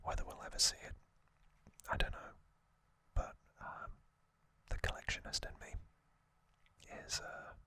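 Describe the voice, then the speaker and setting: A man whispers an informal ASMR commentary. His delivery is hushed and very slow, halting between short phrases. The whisper is extremely bright and crisp-edged, with little melodic movement. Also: no echo. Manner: hushed, unhurried, halting with pauses. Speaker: host of an ASMR channel.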